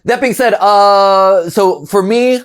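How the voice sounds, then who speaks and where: A man's voice: sits high, slow pace, clear, resonant tone. A man from Ohio in his thirties, hosting a casual reaction show and rambling animatedly, with frequent restarts and chuckles. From Ohio, USA. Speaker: host.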